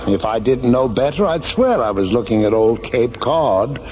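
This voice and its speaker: An actor playing a theatrical, sardonic, menacing mastermind who gives grandiose commands in a science fiction radio drama. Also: male voice, medium pitch, lively intonation, normal speed, a little mellow, highs cut off, lightly acted. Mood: theatrical, pleased. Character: suave, theatrical, menacing male mastermind villain. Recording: noisy old radio drama recording.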